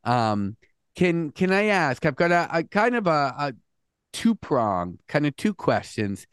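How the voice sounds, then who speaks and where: A man's voice: fairly high pitch, very animated, unhurried, clean tone, no echo, studio quality. A man hosting a podcast interview, earnest and chatty, with frequent restarts and "mm" sounds. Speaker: podcast host. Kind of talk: casual interview chat.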